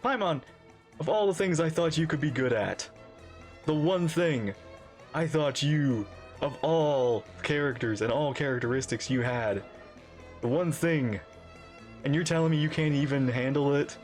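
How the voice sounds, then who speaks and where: A man's voice: a little high, measured pace, a little mellow, faint music behind. A man doing casual live reaction commentary, teasingly talking back to game characters. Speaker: reaction streamer.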